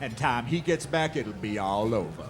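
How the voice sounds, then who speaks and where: A man's voice: mid-range voice, very animated, normal speed, a crowd in the background, lightly acted. A man playing a taunting, menacing bushwhacker in a western radio drama, with a sly drawl. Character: mocking, menacing male western bushwhacker and bully. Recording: live stage with audience noise.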